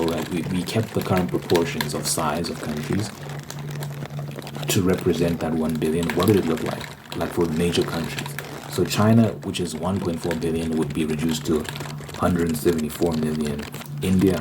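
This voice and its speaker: Low-pitched ASMR rambler with noisy background. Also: fairly even intonation, moderate pace. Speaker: host. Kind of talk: casual opinion ramble, ASMR channel.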